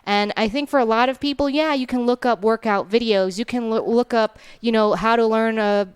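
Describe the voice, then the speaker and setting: A woman's voice: fairly high pitch, brisk, clean tone, no room sound. A woman who grew up speaking Thai, co-hosting a casual podcast and chatting through the day's schedule with lots of ums. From Thailand. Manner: chatty, rundown-style, many ums. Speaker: podcast co-host. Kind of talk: casual chat.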